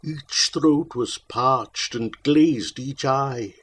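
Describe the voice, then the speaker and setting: Husky-voiced man reciting dramatic verse. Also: medium pitch, fairly bright tone. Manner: theatrical, solemn, with drawn-out phrasing. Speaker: poetry reader.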